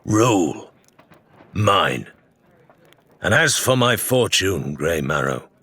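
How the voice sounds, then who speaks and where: A man voicing a boastful, commanding pirate captain in a low, level, assured voice for an audiobook. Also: very animated, bright, forceful tone, slightly rough, exaggerated voice. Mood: cold authority. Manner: assured, deliberate. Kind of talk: audiobook character voice.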